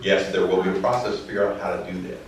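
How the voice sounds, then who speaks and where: A man chairing a public meeting who calmly sums up the public's position. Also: sits low, very fast, a little hiss or hum. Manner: calm, summarizing. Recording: echoey hall picked up from far off.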